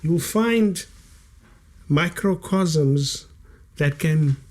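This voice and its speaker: A man's voice: on the high side, drawn-out words, darkish tone. A South African man in his late fifties, a guest author whose first language is Kaaps, speaking reflectively in a podcast studio and stretching out key words for emphasis. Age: 50s. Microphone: studio condenser mic with headphones.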